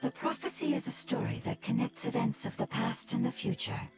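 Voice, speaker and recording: A woman voicing a solemn forest spirit in a video game, speaking in a measured, cryptic way. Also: fairly high pitch, huge pitch swings, gravelly, over loud music, full character voice. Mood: cryptic, musing. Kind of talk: scripted game dialogue.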